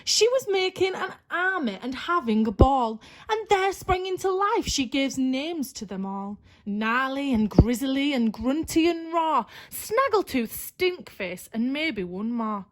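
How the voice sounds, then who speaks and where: Slow, high-voiced woman storyteller. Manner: expressive, dramatic. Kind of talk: animated rhyming children's story narration.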